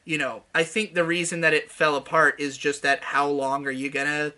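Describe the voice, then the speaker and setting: A man's voice: a little high, little pitch movement, moderate pace, pushed and bright. A man giving casual, opinionated commentary in an informal, sweary way, thinking aloud as he goes.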